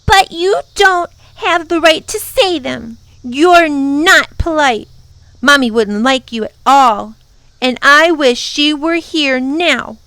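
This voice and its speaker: A woman's voice: sits high, slow pace, pushed and bright, no room sound, pitched above the performer's own voice, heavily acted, low-quality recording. A woman voicing an upset, outspoken little girl in a children's story, protesting with prim, scolding emphasis. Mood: tearful indignation.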